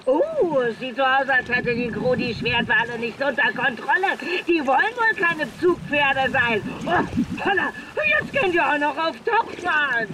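Excitable, dramatic race commentator. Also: female voice, sits high, unhurried, hardly any pauses, noisy background. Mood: excited. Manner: breathless, dramatic, exclaiming. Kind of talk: live sports commentary in a children's radio play.

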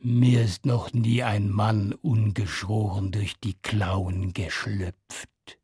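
Man voicing an ancient, low, slow dragon mother. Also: flat delivery, darkish tone, husky, no echo, studio quality, full character voice. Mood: smugly menacing. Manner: drawn-out, ominous.

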